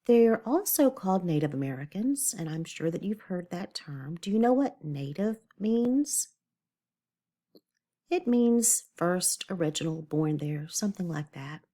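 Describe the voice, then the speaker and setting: A woman's voice: on the low side, sing-song, a little slow, mellow. A woman teacher reading a lesson aloud to children, stopping to explain words simply in an instructional way. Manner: didactic, checks understanding, explains words simply.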